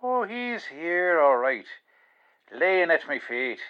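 A man's voice: on the high side, big pitch swings, slow pace, clean tone. An actor playing a blunt, wry Irish policeman in a 1940s-style radio drama, heard through a telephone filter. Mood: grim. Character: gruff, wry Irish male police officer. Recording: dull, band-limited phone line.

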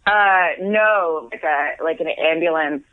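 A woman's voice: deep voice, takes it slowly, no room sound. An American woman co-hosting by phone, a little tipsy, rambling and giggly with lots of restarts. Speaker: podcast co-host calling in from abroad. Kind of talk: casual chat. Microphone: phone call. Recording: tinny phone line.

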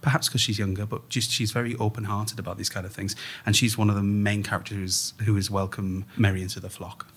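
Low-pitched man explaining his creative work. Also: flat delivery, fairly quick, hardly any pauses, fairly bright tone, slight background noise. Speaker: interviewed playwright. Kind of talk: thoughtful interview conversation.

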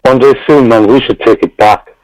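A man speaking as a guest author, croaky tonight and passionate, often repeating himself. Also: mid-range voice, moderate pace, darkish tone, no echo, low-quality recording. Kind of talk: casual interview chat.

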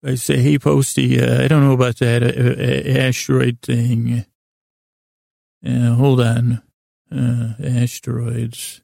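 Gravelly, halting man rambling at bedtime. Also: mid-range voice, flat delivery, mellow, no room sound, clean studio sound. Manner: halting, meandering, self-interrupting with false starts; self-deprecating, absurdist. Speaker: podcast host.

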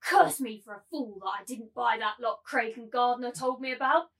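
A woman's voice: a little high, moderate pace, a bit bright. A woman playing a sadistic, bullying brothel keeper turned man in a dramatic reading, commanding and relishing every threat. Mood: angry, cursing. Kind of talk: dramatic table reading of a novel.

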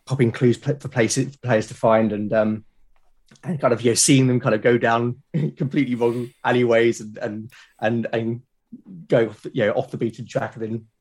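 A British man talking casually as an interview guest, explaining things haltingly and often repeating his words. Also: mid-range voice, little pitch movement, darkish tone. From Britain. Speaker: podcast guest, game master and podcast creator. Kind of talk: casual conversational interview talk.

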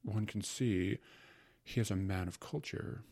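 A man's voice: lowish voice, measured pace, darkish tone. A man voicing a pompous young male tutor who speaks with self-satisfaction.

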